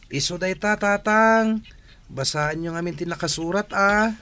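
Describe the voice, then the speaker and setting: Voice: medium pitch, unhurried, a bit bright, a little hiss or hum, close to an everyday voice. A performer acting a caring young child of a family in a Filipino radio drama, with a Filipino accent. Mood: helpful.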